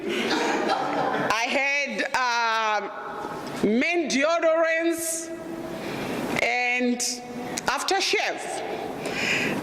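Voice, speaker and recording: A middle-aged Zimbabwean woman whose voice she calls deep tells her story slowly, candid and emphatic, with flashes of humor. She speaks in a lecture room where the sound rings with a long reverb. A faint hum of ambience sits behind her. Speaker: guest presenter. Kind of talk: personal storytelling talk.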